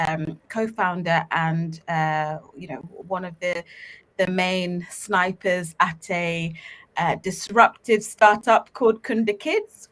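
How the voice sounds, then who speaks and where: A woman speaks in a fairly low, thoughtful voice, pausing often with fillers. She sounds relaxed and sincere, talking over a video call. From Uganda. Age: 30s. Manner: warm, reflective, many fillers. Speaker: guest, startup co-founder. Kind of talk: casual interview talk.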